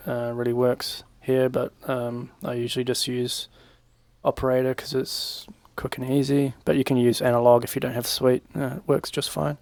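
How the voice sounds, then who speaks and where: A young man giving a casual, informal software tutorial, talking through each step in a laid-back, slangy way at a desk microphone. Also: medium pitch, gravelly, no echo. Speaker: music production tutorial presenter. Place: small home room, indoors. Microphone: desk microphone on a boom arm.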